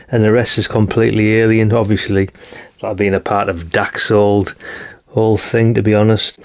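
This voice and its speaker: British bloke, lowish voice, rambly casual teardown chat, thinking out loud like a hobby channel host. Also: male voice, creaky. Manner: chatty, rambling, thinking aloud. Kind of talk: casual hands-on teardown commentary.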